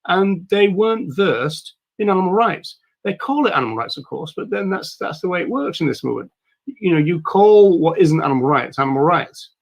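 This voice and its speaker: Livestream host: man, croaky and gravelly, somewhat high, rambling with lots of fillers. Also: lively intonation, a little fast. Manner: rambling, with many fillers and restarts. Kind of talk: casual livestream talk.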